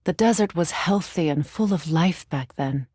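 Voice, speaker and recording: Regal goddess warrior, female, middle-aged, solemn, formal measured phrasing, fairly low pitch, dry studio sound, dramatic game acting. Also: animated, darkish tone, husky, dry recording. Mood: solemn, reflective.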